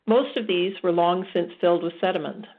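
A woman's voice: lowish voice, a little fast. A woman reading a nature book aloud. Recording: tinny phone-like sound.

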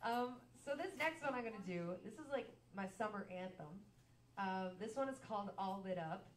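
A woman singer-songwriter chatting on a small cafe stage, bubbly and quick to laugh. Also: medium pitch, expressive, a little hiss or hum. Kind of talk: live stage chat between songs. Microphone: stand mic, far camera. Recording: roomy live room, far from mic.

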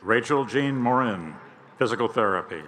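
A man reading graduates' names and fields of study at a commencement ceremony in a large outdoor stadium, announcing each one clearly and evenly. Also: mid-range voice, expressive, moderate pace, fairly bright tone, a little hiss or hum, poor audio. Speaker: commencement name reader.

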